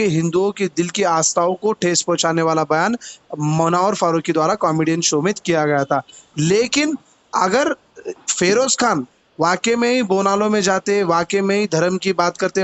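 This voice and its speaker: High-pitched, insistent Hyderabadi man. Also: a bit bright, lo-fi sound. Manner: insistent, repeats himself. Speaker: political party activist being interviewed. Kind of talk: argumentative phone interview.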